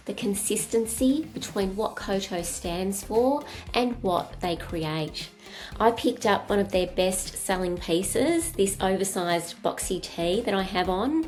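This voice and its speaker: A woman's voice: lowish voice, darkish tone. A woman hosting a podcast, reading a polished introduction and then asking questions with enthusiasm. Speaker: podcast host.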